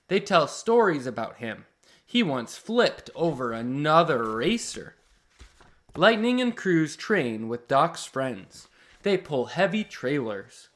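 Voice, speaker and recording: A man's voice: a little high, big pitch swings, slow pace, fairly bright tone. A man hosting read-aloud storytelling for children, reading expressively in simple phrases and chatting with listeners. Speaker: host reading children's picture books aloud.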